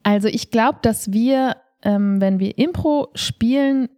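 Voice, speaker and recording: Frau im lockeren, trockenen Podcast-Gespräch. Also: female voice, a little high, takes it slowly, warm tone, no echo, clean studio sound. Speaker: podcast co-host, improv performer. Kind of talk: casual conversational podcast talk.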